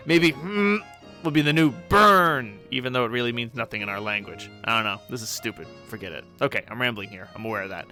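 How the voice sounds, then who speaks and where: A man's voice: medium pitch, a little fast, sharp tone, soft background music. A man voicing a grumpy, bossy teenage male alien troll who rants and swears in exasperation. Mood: exasperated.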